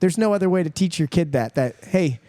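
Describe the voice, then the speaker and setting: A man's voice: high voice, animated, quick pace, dark tone, no room sound, studio quality. A man hosting a podcast, encouraging in casual chat, laughing often.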